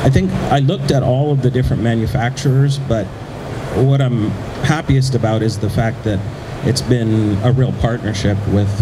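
A middle-aged man speaking conversationally as a panelist, with halting delivery, into a handheld microphone in a large exhibition hall. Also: fairly low pitch. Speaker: panelist, print shop owner. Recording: noisy trade show floor, ventilation hum.

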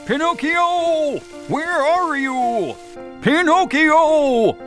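A man's voice: very high-pitched, expressive, a bit bright, soft background music, heavily acted. A man voicing a worried, weary old father in a cartoon, anxiously searching for his son. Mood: anxious calling. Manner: slow, anxious calling. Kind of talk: animated cartoon acting.

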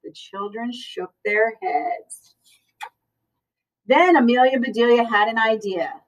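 A middle-aged woman reading aloud at children's story time in an expressive, teacherly manner. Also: on the high side, slow. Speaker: school librarian reading aloud. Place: school library, indoors. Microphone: far camera. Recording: distant camera microphone.

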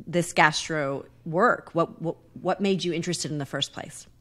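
A woman in her forties hosting an interview, asking questions in a soft, halting, curious way from her home. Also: low-pitched. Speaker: podcast host. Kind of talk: casual interview. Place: home living room.

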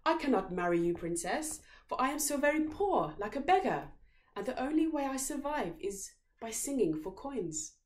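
A woman's voice: high-pitched, big pitch swings, moderate pace, pitched above the performer's own voice. A woman voicing a young storybook prince who poses as a poor beggar, plaintive and theatrical. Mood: mock sorrowful.

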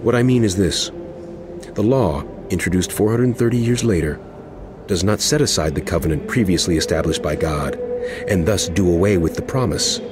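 Man playing impassioned preaching apostle, lowish pitch, emphatic, rhetorical, dramatized audio scripture, music underneath. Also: normal speed, close to an everyday voice. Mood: earnest, instructive. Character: impassioned male apostle preaching to his wayward flock. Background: background music.

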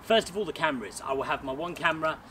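A man's voice: on the high side, lively intonation, very fast, a bit bright. A man, chatting upbeat as he explains his gear step by step to camera in a small back garden. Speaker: sports photographer and vlogger. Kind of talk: casual gear walkthrough. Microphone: camera mic on a tripod, a few feet away. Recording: outdoor camera audio with noticeable background noise.

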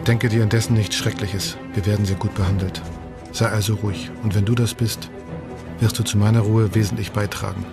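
Here is an actor as an earnest nineteenth-century revolutionary, a man writing home from prison. He is composed and resolute, with a low, solemn, measured docudrama delivery. Loud music plays behind him. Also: flat delivery, natural voice. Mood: tender, reassuring. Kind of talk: German docudrama acting, letter voice-over.

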